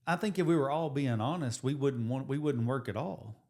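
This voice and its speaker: An American man in his late forties, co-hosting a relaxed podcast chat. He rambles through figures and plans, circling back and restarting his sentences as he goes, at an average pitch. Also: brisk. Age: 40s. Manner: rambling, restarts, thinks out loud with numbers. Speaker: podcast co-host, high school coach and teacher. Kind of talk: casual chat.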